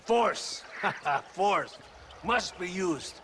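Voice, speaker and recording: High-voiced, sneering Mexican tyrant. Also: male voice, lively intonation, drawn-out words, fairly bright tone, slight background noise, heavily acted. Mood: grandiose. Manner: theatrical, sneering, mixes Spanish into English. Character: menacing, pompous Mexican military tyrant, mocking and volatile. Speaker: video game character actor. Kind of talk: dramatic game cutscene acting.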